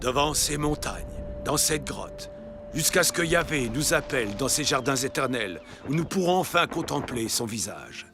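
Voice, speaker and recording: A man playing an aged, devout patriarch, serene and resigned, in a dubbed animated Bible story. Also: medium pitch, moderate pace, music in the background, natural voice.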